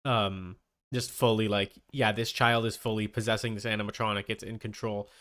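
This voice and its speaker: Smooth-voiced man chatting on stream. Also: on the low side, flat delivery, normal speed, fairly bright tone. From Canada. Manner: rambling, thinking aloud. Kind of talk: casual livestream chat.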